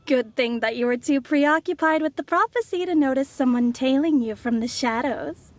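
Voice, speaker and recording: A woman's voice: sits high, moderate pace, close to an everyday voice. A woman voicing a sly, poised young outlander schemer in a video game, teasing and theatrically polite. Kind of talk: English video game voice acting.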